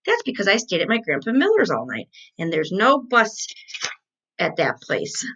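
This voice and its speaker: Lady doing a bossy chatty little kindergarten girl from a storybook, very animated, bit lo-fi. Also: medium pitch, moderate pace, husky, exaggerated voice. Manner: emphatic, childish phrasing.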